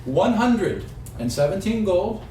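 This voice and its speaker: A man acts out an exasperated halfling aunt who runs a ship. She is sarcastic and bossy, with swooping pitch. It is a tabletop game recorded in an echoey room, a little off mic. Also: very animated, takes it slowly, mellow, exaggerated voice. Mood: sarcastic, scolding. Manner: sarcastic, scolding. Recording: roomy, distant mic, faint noise.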